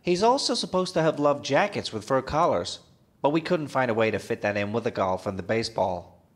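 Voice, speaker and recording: An actor playing a timid, earnest forest ranger, polite and matter-of-fact, in a comedic audio drama. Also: male voice, medium pitch, lively intonation, brisk, natural voice.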